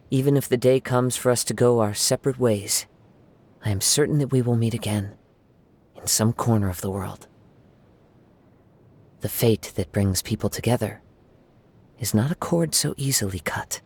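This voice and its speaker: Serene young swordsman poet, soft-spoken and gentle, game character, played by a man. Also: mid-range voice, lightly acted. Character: calm young male wandering samurai poet.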